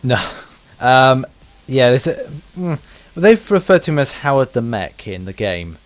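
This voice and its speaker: Halting man interviewer, mid-range voice. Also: mid-range voice, big pitch swings, soft background music. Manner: halting, agreeable. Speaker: podcast interviewer. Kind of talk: casual podcast interview.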